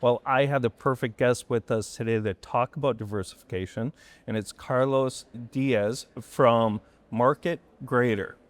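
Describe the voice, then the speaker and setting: A man with a mid-range voice hosts a podcast recorded on location, upbeat and friendly in tone. He fills the guest's pauses with short agreeing "yeah"s and keeps the talk moving. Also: mid-range voice, no echo. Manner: upbeat, friendly, frequent "yeah" and "mm-hmm" backchannels. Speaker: podcast host. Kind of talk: podcast interview hosting. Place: live event recording on location.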